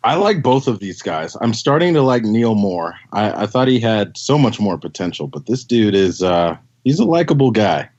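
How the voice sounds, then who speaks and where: A man's voice: lowish voice, very animated, moderate pace, few pauses, darkish tone. Teasing male co-host, dull lo-fi sound. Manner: teasing, wisecracking. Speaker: podcast co-host. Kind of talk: casual chat.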